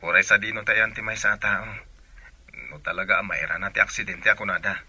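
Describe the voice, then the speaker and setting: Very bright, forceful Ilocano sweetheart. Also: male voice, on the low side, fairly even intonation, a little fast, breathy edge, full character voice. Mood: affectionate, reassuring. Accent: Filipino, Ilocano. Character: affectionate young seafaring sweetheart saying farewell. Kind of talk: radio drama acting.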